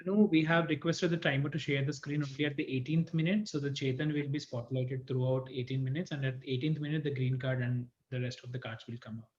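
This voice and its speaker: A man's voice: mid-range voice, steady tone, fast, hardly any pauses. A man hosting an event on a video call, giving polite announcements and instructions to participants. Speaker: contest host. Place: online video call from an echoey room. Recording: echoey, dull video-call audio.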